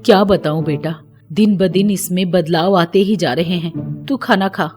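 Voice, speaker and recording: Woman doing gentle middle-aged saas, resigned and indulgent, pitched down, music under it. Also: a little slow, close to an everyday voice. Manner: soft-tempered, indulgent. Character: patient, forgiving middle-aged mother-in-law, gently resigned.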